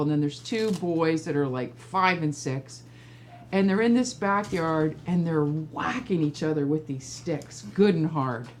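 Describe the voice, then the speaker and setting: A middle-aged woman casually telling anecdotes in an animated, informal way. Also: lowish voice, slight background noise. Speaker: storytelling guest, a regular host's mother.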